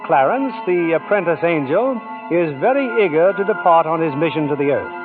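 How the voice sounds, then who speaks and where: Radio drama narrator, a man: scene-setting, fairly high, vintage band-limited sound with music underneath. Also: animated, moderate pace, phone-quality sound, close to an everyday voice. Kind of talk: old-time radio scripted narration.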